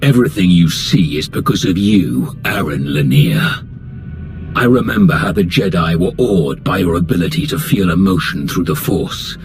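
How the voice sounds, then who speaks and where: An arrogant old Sith lord from a video game. The voice sits very low and moves with deliberate, imperious contempt, full of menace. Music plays clearly underneath. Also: male voice, lively intonation. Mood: cold contempt. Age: older. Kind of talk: scripted video game acting. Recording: music behind the voice.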